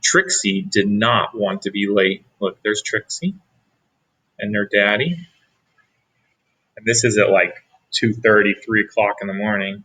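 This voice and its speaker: A man reading a picture book aloud as a teacher, pausing for asides to young listeners. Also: on the low side, fairly even intonation, sharp tone, breathy edge, low-quality recording. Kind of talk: read-aloud storytelling.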